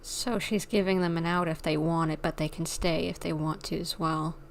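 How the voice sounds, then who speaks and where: A woman with a slightly low voice streams a video game. She comments casually and reflectively, thinking aloud as she goes. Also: steady tone, moderate pace, a little hiss or hum. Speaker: game livestreamer.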